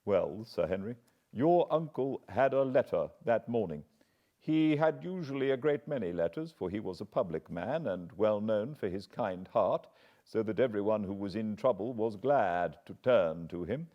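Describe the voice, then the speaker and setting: A man's voice: on the low side, lively intonation, normal speed, close to an everyday voice. A British man voicing a deferential, earnest middle-aged butler who speaks respectfully and apologetically; the recording sounds slightly dull.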